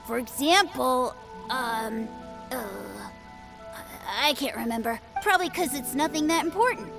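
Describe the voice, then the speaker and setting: A woman's voice: high voice, lively intonation, a little slow, over music. A woman voicing an eager, boastful young boy who plays at being a sword master in a video game. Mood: chatty.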